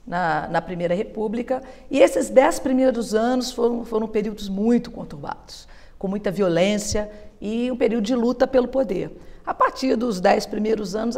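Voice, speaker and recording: Woman, fifties, steady explanatory talk, mid pitch, reverberant studio. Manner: steady, fluent, explanatory, with frequent tag questions. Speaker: historian guest. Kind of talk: explanatory interview answers. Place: television studio. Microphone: lapel mic.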